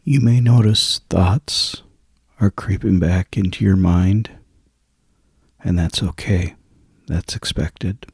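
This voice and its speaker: A man leading a guided sleep meditation in a soft, hushed, soothing and husky voice, with long pauses between phrases. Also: low voice, no room sound, studio quality.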